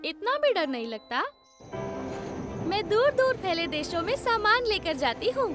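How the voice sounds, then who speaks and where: A woman's voice: very high voice, sing-song, measured pace, full character voice. A woman voicing a confident, friendly female freight train in a Hindi cartoon dub, breezy and reassuring in a girlish voice. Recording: music behind the voice.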